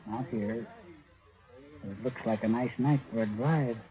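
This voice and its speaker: An actor playing an edgy, menacing murder suspect in an old radio crime drama, tense but smooth with his threats. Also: mid-range voice, measured pace, warm tone, natural voice, rough recording. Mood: coldly menacing. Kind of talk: 1940s-50s radio crime drama acting. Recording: vintage radio drama recording.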